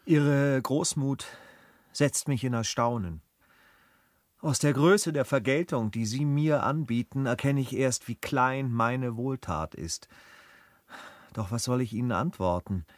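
An actor playing a noble, dignified travelling gentleman in a classic radio play, speaking in a measured, formal, earnest way. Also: medium pitch, no room sound, studio quality, lightly acted. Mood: humble, gracious. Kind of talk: German radio drama acting of a classic stage comedy.

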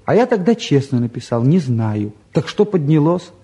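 A restless teenage lad in a radio play, with a fairly high, mellow voice. He grumbles in slang, sardonic and flippant about everything around him. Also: sing-song, moderate pace. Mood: sardonic, frank. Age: young adult. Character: bored, restless, sardonic eighteen-year-old younger son. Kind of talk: naturalistic stage and radio drama acting.

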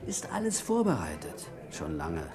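A man's voice: low voice, wildly swooping pitch, moderate pace, a crowd in the background. A man playing a ruthless, impatient burglar ringleader in a radio crime drama, bossy, urgent and conspiratorial. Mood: scheming, confident. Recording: vintage radio play with music.

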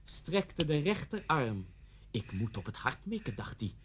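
A man's voice: medium pitch, very animated, unhurried, a bit bright, slightly rough, exaggerated voice. An actor playing a vengeful, deranged middle-aged man in a radio play, mocking and theatrical as he threatens someone. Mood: theatrical menace. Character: vengeful, deranged man taunting his old schoolteacher at gunpoint.

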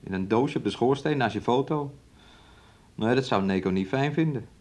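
An actor playing a domineering, resentful young fiancé in a radio play, with a taunting, needling way of talking. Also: male voice, medium pitch, normal speed, a little mellow, lightly acted. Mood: belittling. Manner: speaks of himself and her in third person, taunting.

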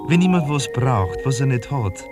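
An actor with a Viennese accent playing a pompous, patronising husband who explains things in a comic radio dialogue, with music behind him. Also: male voice, mid-range voice, expressive, moderate pace, lightly acted. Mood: lecturing.

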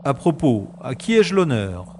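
An actor playing a self-assured secret agent in an audio drama, speaking with dry, formal irony. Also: male voice, medium pitch, big pitch swings, measured pace, a little mellow, a little hiss or hum. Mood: smooth, evasive. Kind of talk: French audio drama acting. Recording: echoey, music behind.

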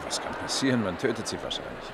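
An actor playing a worldly, sceptical Roman captain and devoted lover in a German radio play, earnest and sardonic. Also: male voice, lowish voice, big pitch swings, fast, over a noisy crowd, very noisy. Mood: urgent.

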